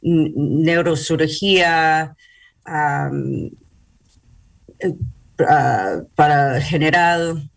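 Slow, halting bilingual woman in an interview. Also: sits low, vocal fry, low-quality recording. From New York, United States. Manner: halting, searching for words in Spanish, slips into English words. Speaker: hospital public relations manager, interview guest. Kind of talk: interview answers.

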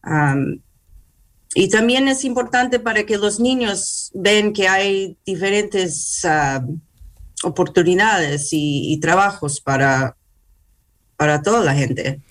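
Slow, halting bilingual woman in an interview. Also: medium pitch. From New York, United States. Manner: halting, searching for words in Spanish, slips into English words. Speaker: hospital public relations manager, interview guest. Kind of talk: interview answers.

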